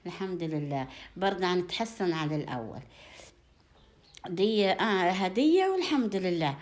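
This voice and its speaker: A woman's voice: lowish voice, animated, normal speed, darkish tone. A woman from Upper Egypt with a Sa'idi accent, chatting casually to her viewers as a home vlogger, repeating phrases and offering effusive blessings and endearments. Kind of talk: casual chat to viewers, showing food gifts.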